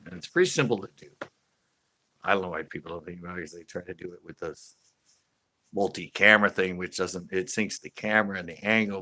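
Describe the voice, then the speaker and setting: A man's voice: deep voice, bright, forceful tone. A man hosting a fishing channel, chatting casually on a live stream, rambling with many fillers and restarts and laughing often.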